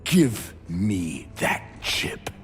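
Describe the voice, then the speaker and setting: Cutscene: overbearing captain, clipped orders, reverb and light score. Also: male voice, deep voice, wildly swooping pitch, very slow, soft background music, exaggerated voice. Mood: commanding. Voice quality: curt, commanding and bureaucratic. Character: stubborn, domineering male starship captain. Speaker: ship captain. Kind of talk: video game cutscene acting. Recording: game audio with reverb and score.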